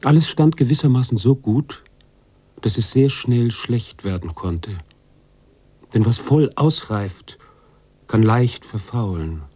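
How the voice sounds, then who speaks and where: An actor playing a brooding, guilt-ridden writer who narrates a radio drama in a deliberate, confessional way. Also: male voice, mid-range voice, expressive, no echo. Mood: doubting, brooding. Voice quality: husky, hushed at times. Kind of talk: literary radio drama monologue.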